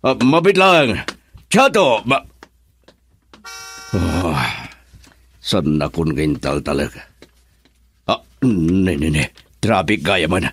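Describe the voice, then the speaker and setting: A man's voice: low-pitched, very animated, takes it slowly, long pauses, fairly bright tone, slight background noise, heavily acted. An actor playing a lovestruck, wistful businessman in an Ilocano radio drama. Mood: flustered. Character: lovestruck businessman wistfully pining for a lost love.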